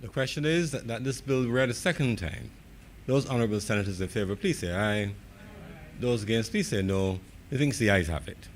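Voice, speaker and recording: Man, low pitch, presiding officer, formal procedural delivery, measured manner, faint background noise. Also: expressive. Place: legislative chamber.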